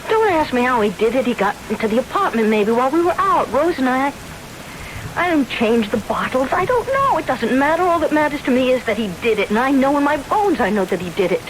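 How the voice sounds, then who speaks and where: A woman's voice: high-pitched, sing-song, a little fast, poor audio. An actress playing a grieving, fiercely determined young woman in an intense, emphatic old-time radio drama style. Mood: fierce resolve. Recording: hissy old radio broadcast.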